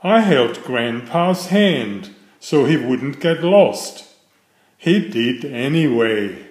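Middle-aged man, children's storyteller, slow pace, animated intonation, simple phrasing, echoey room. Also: mid-range voice. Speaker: children's book reader. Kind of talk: read-aloud bedtime storytelling. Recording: roomy, echoey voice recording.

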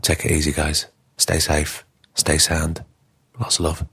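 Very deep, gravelly male podcast host. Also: completely flat delivery, unhurried. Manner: effusive, emphatic, informal. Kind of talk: closing monologue.

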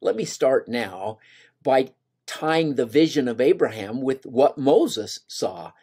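A man's voice: medium pitch, animated, takes it slowly, a little mellow, husky. Earnest older man teaching scripture. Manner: earnest, voices scripture lines with rising emphasis. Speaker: gospel study teacher. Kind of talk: scripture lesson over slides.

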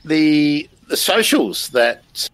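A man's voice: on the high side, sing-song, drawn-out words, a bit bright, no room sound. A man hosting a live stream in a casual, chatty, self-deprecating way. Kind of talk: casual chat.

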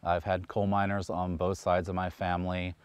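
A man's voice: low voice, flat delivery, normal speed, smooth, dry recording. A man in his thirties from West Virginia, a local resident speaking in a documentary interview outdoors. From West Virginia, United States. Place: outdoors in woods.

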